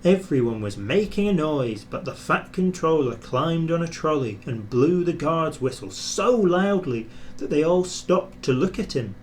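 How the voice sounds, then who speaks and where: A man narrating a children's story in an expressive, scripted reading style. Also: a little high, measured pace, few pauses, slight background noise. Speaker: storybook reader.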